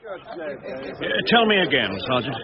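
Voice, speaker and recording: Dry, sceptical police superintendent. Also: male voice, mid-range voice, sing-song, a little slow. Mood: sceptical, irritated. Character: senior police superintendent, sceptical, gruffly genteel. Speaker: actor in an audio crime drama. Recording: dull audio with busy outdoor crowd.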